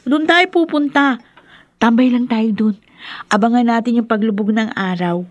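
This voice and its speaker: A woman as a high-voiced coaxing schoolgirl. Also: normal speed, no echo, dull audio, close to an everyday voice. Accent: Filipino. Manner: coaxing, insistent. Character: persuasive teenage schoolgirl friend. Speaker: radio drama actor. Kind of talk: Filipino radio drama acting.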